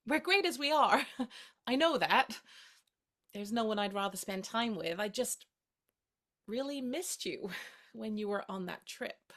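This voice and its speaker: An actress playing a playful, tender young woman in a play reading, gently teasing as she courts her friend. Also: fairly high pitch, animated, unhurried, long pauses. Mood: shy, tender. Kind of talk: two-hander stage play reading.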